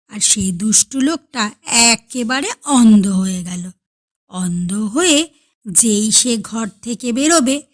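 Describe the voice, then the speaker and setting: A woman reading a story aloud as an expressive storyteller. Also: fairly high pitch.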